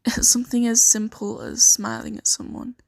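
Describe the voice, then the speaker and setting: A woman improvising an ASMR roleplay as a kindly, earnest host of a fantasy sanctuary, speaking softly and reassuringly with some hesitation. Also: fairly high pitch, very animated, normal speed, gravelly, dry recording, exaggerated voice. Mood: earnest, gentle. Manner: soft-spoken, gently hesitant, reassuring.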